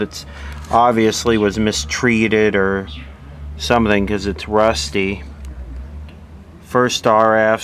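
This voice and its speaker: Slow, low-voiced man explaining a radio repair. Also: fairly bright tone, slight background noise. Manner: plain, unhurried, explaining step by step. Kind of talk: casual hands-on workshop demo.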